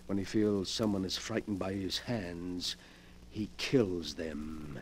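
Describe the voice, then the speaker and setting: An actor playing a sly, morbid invalid gentleman who gloats with effusive politeness, in 1940s radio mystery style. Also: low-pitched, steady tone, slow pace, slight background noise. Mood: earnest explaining. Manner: effusively polite, theatrical, relishing his words. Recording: vintage 1940s radio broadcast.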